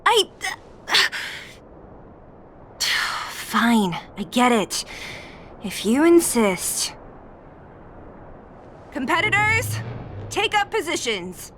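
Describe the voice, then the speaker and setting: A woman's voice: sits high, sing-song, extremely slow, pauses often, fairly bright tone, a little hiss or hum, heavily acted. An actress playing an exasperated, no-nonsense young female fighter in a video game, blunt and dry in manner.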